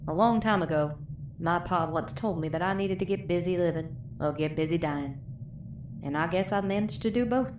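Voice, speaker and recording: Dying frontier woman played by an actress, low, folksy, worn and reflective, echoing space with noticeable background noise. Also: normal speed, natural voice. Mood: weary, reflective. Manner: folksy, weary, reflective drawl. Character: weary, plain-spoken dying country woman, post-apocalyptic survivor. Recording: roomy, with clear background noise.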